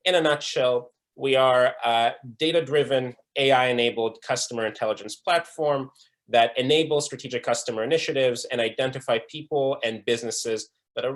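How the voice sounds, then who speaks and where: Young man from Israel, mid-pitched, earnest conference presenter with ums and restarts. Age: young adult. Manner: earnest, with frequent um fillers and restarts. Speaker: conference speaker. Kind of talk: presentation talk. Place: online breakout session.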